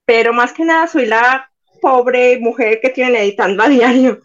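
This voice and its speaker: A woman's voice: fairly high pitch, normal speed. Chatty young Colombian woman, muffled line. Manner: chatty, animated storytelling. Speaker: podcast guest, Instagram page admin. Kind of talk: casual chat.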